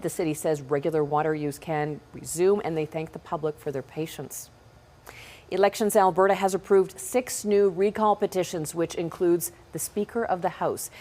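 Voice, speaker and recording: Casting: female news anchor, Canadian accent, low-pitched voice, scripted bulletin delivery. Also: darkish tone.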